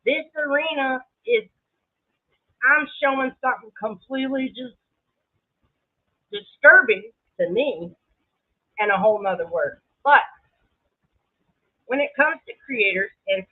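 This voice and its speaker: A woman's voice: fairly high pitch, lively intonation, unhurried, long pauses. A woman talking casually on a livestream in a brash, dramatic, sweary way, often laughing. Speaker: livestream creator. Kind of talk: casual livestream commentary to viewers. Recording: muffled, narrow lo-fi sound.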